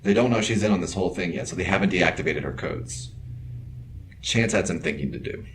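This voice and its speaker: A man's voice: deep voice, fairly quick. A man playing a dry, patient robot security officer in an audio drama, terse and businesslike. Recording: roomy echo with clear background noise.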